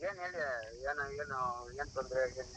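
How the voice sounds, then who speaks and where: A man's voice: fairly high pitch, normal speed, crowd noise. A man in his twenties with a Karnataka accent answering a casual phone call in a puzzled, defensive manner. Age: 20s. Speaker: prank call target.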